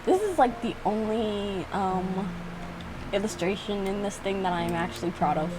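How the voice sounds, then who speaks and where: A woman's voice: mid-range voice, lively intonation, moderate pace, poor audio. A woman giving casual reaction commentary on her own work, reading bits aloud in a giggly, self-mocking way. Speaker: video creator reacting to own visual novel. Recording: music behind the voice. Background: music.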